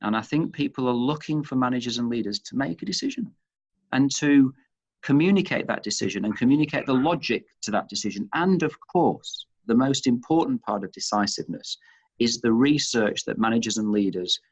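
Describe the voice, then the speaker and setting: A man from Manchester with a Northern English accent, answering interview questions in a thoughtful, halting way, restarting phrases as he goes. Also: medium pitch, animated, husky. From Manchester, England. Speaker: interview guest, chief executive of leadership institute. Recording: dull, muffled lo-fi audio.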